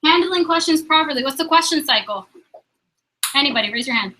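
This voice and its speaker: A young woman leading a sales training meeting, upbeat and quizzing the room with quick questions. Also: high-pitched, animated, pushed and bright, a little hiss or hum.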